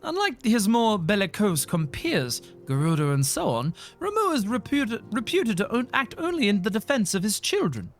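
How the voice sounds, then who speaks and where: A streamer reading the lines of an earnest young male scholar from a fantasy game, in a formal, measured way. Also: mid-range voice, big pitch swings, soft background music.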